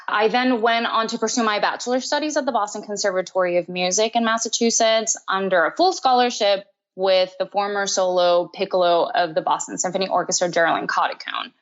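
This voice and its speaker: A woman's voice: mid-range voice, lo-fi sound. A young adult woman from Texas, a flutist and festival director, telling her career story in a friendly podcast interview and laughing often. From Texas, USA.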